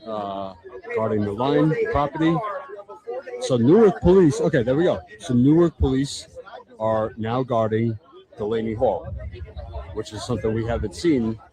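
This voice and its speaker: A man's voice: mid-range voice, moderate pace, poor audio. A man reporting live from outdoors at a protest site, hesitant and full of fillers. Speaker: on-the-scene reporter. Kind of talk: live street commentary. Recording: outdoor live stream with crowd noise.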